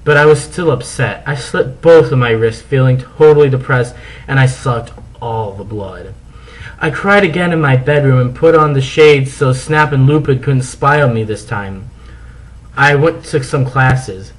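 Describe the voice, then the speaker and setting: A man's voice: mid-range voice, a little slow, rough recording. A man narrating fan fiction as a comic dramatic reading, theatrical and mock-serious.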